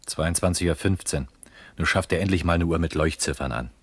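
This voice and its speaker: An actor playing an impatient, grumbling police detective in a crime radio play, dry and sardonic. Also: male voice, low-pitched, fairly quick, a little hiss or hum, close to an everyday voice. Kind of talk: mid-century German crime radio play acting.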